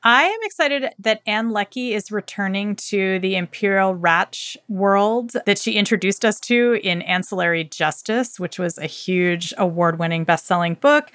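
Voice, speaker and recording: Woman, podcast co-host, medium pitch, dry close sound, effusive, slangy, casual book chat. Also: moderate pace, few pauses, a bit bright, no room sound. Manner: enthusiastic, effusive; colloquial, slangy.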